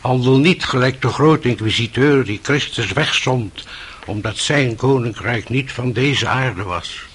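An actor playing a grave, compassionate elderly monk in a radio drama, speaking solemnly and with gentle admonition. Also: male voice, mid-range voice, a little slow, slightly rough. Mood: solemn blessing. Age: older.

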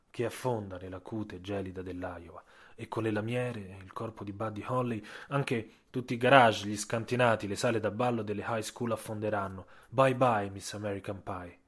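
A man narrating a scripted radio audio story in a dramatic, literary way, with deliberate pauses. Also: fairly low pitch.

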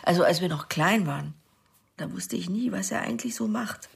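Low, creaky middle-aged woman, hesitant. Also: fairly quick. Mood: slightly defensive. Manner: hesitant, matter-of-fact, colloquial. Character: middle-aged sister giving a plain, hesitant account. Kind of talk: naturalistic radio crime drama acting.

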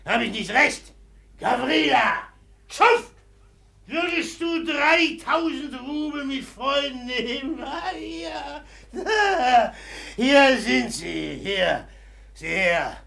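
Wild, high-pitched drunken merchant. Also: male voice, expressive, creaky, heavily acted. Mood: taunting, reckless. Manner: shouting, laughing, impulsive, drawn-out emphatic phrases. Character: drunken, wild, boastful rich merchant in love. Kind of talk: 1950s German radio drama acting. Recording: 1950s radio recording.

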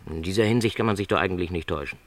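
An actor playing a gentle middle-aged travelling salesman in a literary radio play, earnest and reassuring in formal wording. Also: sits low, very fast, natural voice.

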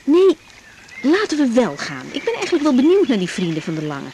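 A woman's voice: high voice, huge pitch swings, fairly quick, background noise, full character voice. A woman playing a young doctor in a radio drama, weary and hesitant with a dry, understated manner. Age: young adult. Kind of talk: 1980s Dutch radio drama acting.

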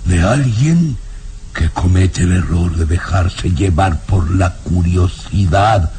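Imperious old baron, slow and menacing. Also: male voice, deep voice, warm tone, a little hiss or hum. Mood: angry, imperious. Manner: menacing, indignant, formal and emphatic. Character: irascible, imperious old baron, nervous castle lord. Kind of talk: vintage Spanish-language radio serial acting.

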